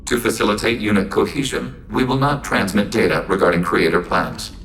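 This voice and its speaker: An actor voicing a calm, logical synthetic soldier, speaking in flat, precise, formal sentences. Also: male voice, lowish voice, fairly quick, gravelly, slight background noise, rough recording. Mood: earnest. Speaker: squadmate. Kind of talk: video game voice acting. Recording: game audio with music behind.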